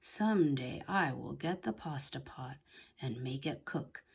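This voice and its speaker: Naive young male helper for a storybook, played by a woman: eager, careless, exclamatory, slightly husky. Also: very deep voice, animated, slow pace, full character voice. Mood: resentful.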